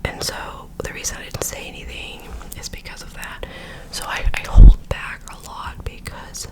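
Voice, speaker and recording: A young woman whispering a rambling story into a close microphone while doing her makeup, often correcting herself as she goes. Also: normal speed. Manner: rambling, self-correcting, filler-heavy storytelling. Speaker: ASMR creator.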